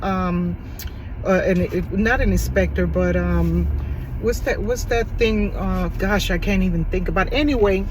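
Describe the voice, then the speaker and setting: A soft-voiced woman, a notary business owner, giving a frank and preachy personal rant with many restarts and fillers. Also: mid-range voice, low-quality recording. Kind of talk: candid personal rant to camera. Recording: loud vehicle and street noise.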